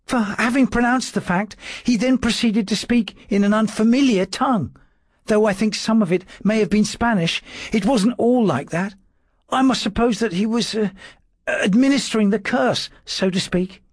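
A man's voice: moderate pace, no echo, clean studio sound. A man doing a stammering, timid secretary. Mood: nervous. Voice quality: stammering, high and strained. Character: timid nervous male secretary, frightened.

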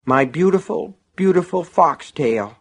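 An unhinged small-town man acted out by a male narrator for an audiobook, pitched up and strained. He is gullible and troubled, speaking in an agitated, halting way. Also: expressive, husky, heavily acted. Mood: adoring.

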